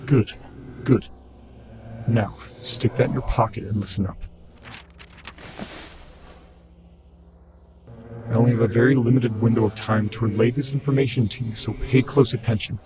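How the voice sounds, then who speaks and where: An actor in an audio drama playing a disembodied, once-human servant spirit, commanding and impatient, with formal, urgent speech. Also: male voice, steady tone, a little fast, natural voice. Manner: stern, urgent, formal and cryptic. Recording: loud rumbling background noise.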